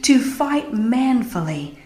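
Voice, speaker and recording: A woman reads a scripted religious audiobook in a solemn, earnest and measured delivery. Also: a little high, sing-song, warm tone. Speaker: audiobook narrator. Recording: roomy echo with music behind. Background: music.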